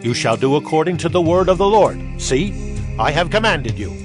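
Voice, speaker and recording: A man's voice: a little high, very animated, moderate pace, music in the background. An actor playing an earnest, authoritative male leader of ancient Israel in a dramatized scripture reading. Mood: commanding. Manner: earnest, commanding.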